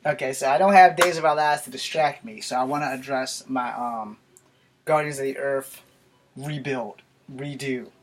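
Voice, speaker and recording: A man's voice: medium pitch, moderate pace, faint music behind. An American man in his forties giving a rambling, casual vlog talk to camera in a small bedroom. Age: 40s. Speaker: YouTube vlogger. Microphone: camera a few feet away. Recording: noisy home camera recording with clicks.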